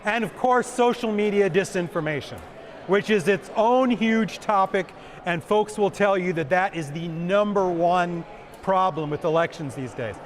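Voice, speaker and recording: A man with a big booming voice, giving a conference talk and answering questions with jokes. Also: unhurried, a little hiss or hum. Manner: joking, self-deprecating, repeats questions aloud. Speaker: conference speaker. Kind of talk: presentation and Q&A.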